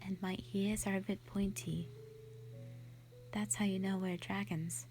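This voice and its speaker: Woman playing a slow-spoken, gentle shy dragon lady. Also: medium pitch, fairly even intonation, faint music behind, natural voice. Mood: soft, wistful. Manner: soft, breathy, hesitant, sweet and earnest. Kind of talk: roleplay audio drama, intimate one-sided dialogue.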